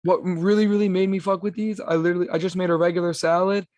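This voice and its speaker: A raspy young man, talking loose and slangy as a podcast co-host. His voice sits a bit high for a man, recorded close and dry with no room sound. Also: level tone, quick pace, a little mellow, no room sound. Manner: laid-back slangy talk. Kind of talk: casual chat.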